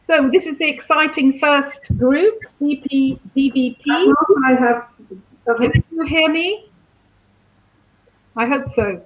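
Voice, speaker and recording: A woman with a high voice moderates a live question session. She sounds improvised and a little hesitant, checking things as she goes. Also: slow pace, poor audio.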